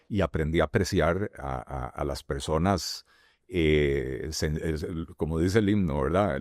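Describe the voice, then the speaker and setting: A middle-aged man with a Costa Rican accent, answering interview questions as a candidate in a halting way with many fillers and restarts. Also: extremely low pitch, darkish tone, dry recording, studio quality. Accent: Costa Rican Spanish. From Costa Rica. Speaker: presidential candidate.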